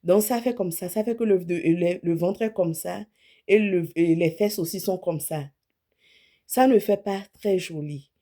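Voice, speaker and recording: Mellow-voiced woman in her thirties, chatty. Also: on the low side, a little slow. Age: 30s. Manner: chatty, self-correcting, speaks frankly about her own body. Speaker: image consultant. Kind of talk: casual talk to camera.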